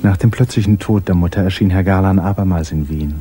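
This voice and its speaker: A man narrating a literary radio drama in a measured, composed storytelling manner. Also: low-pitched, mellow, husky. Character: calm omniscient male narrator of a literary tale.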